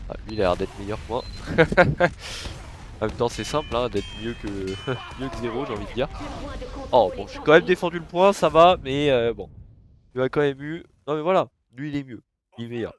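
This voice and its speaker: Young man, stuffy nose, gameplay commentary, chatty, swears, excited outbursts. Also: mid-range voice, moderate pace. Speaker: gaming YouTuber.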